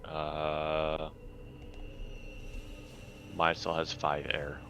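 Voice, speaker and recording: A man's voice: extremely low pitch, completely flat delivery, extremely slow, noisy background. A man chatting casually as a player in a tabletop roleplaying game. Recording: music behind the voice.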